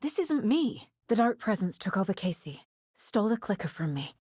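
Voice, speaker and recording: A woman playing a determined, sharp investigator in a horror video game, measured and introspective, often as if talking to herself. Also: mid-range voice, big pitch swings, breathy edge, dry recording, studio quality. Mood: distressed, urgent, then focused, pensive. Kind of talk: cinematic video game acting, naturalistic.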